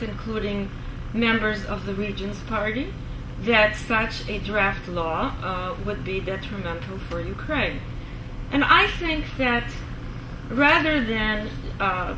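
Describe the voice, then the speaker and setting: Slow-spoken woman in an echoey hall. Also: medium pitch. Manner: measured, hesitant with fillers. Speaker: panel speaker. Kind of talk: public discussion remarks. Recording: echoey hall from far off, noisy.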